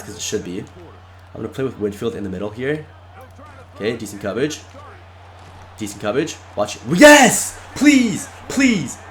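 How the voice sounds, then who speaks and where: A congested man narrating his own video game match live, excitable and shouting at close plays. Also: medium pitch. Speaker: gaming YouTuber. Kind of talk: live gameplay commentary.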